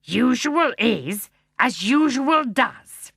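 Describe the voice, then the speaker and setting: A woman reading a storybook, voicing a large gentle male bear with slow, deliberate speech. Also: creaky, husky, dry recording, clean studio sound, exaggerated voice. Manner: slow, deliberate, lively pitch.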